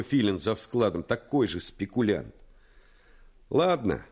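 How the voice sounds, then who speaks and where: A man narrating a radio play as a grown man calmly recalling his boyhood in scripted storytelling. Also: low voice. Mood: wry.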